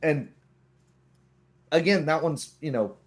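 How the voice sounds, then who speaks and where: A man's voice: fairly high pitch, slow. Man casually explaining tabletop game rules. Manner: explanatory, acting out short hypothetical remarks.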